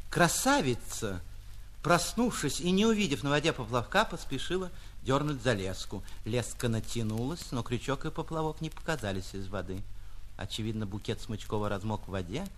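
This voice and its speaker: A man, an actor reading a literary short story in an expressive, mock-grandiose theatrical style. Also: mid-range voice. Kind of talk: theatrical literary audiobook narration for radio.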